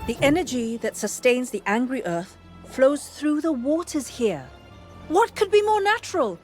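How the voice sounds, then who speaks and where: An actress playing an earnest, scholarly fantasy adventurer in a video game, articulate and expressive. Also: female voice, high voice, normal speed, soft background music. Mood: thoughtful, intent. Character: eager, scholarly female fantasy adventurer ally. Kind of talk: dramatic video game dialogue.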